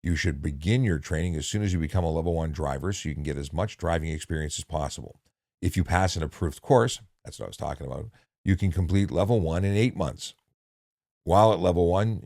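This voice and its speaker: A man reading instructional material in a measured, deliberate way, with casual off-the-cuff asides. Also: extremely low pitch, vocal fry, a little mellow, no room sound, studio quality. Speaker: narrator reading a handbook with commentary.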